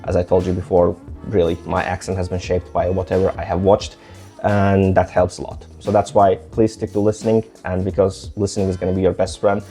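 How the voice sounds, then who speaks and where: A young man from Azerbaijan speaks English with a mostly American, slightly British accent. His voice sits fairly low and sounds warm and dark. He rambles through his advice with frequent "like" fillers, and soft music plays faintly underneath. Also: little pitch movement, a little fast. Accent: mostly American with some British. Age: young adult. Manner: rambling, many "like" fillers and restarts. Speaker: language-learning vlogger. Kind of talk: casual advice talk to camera.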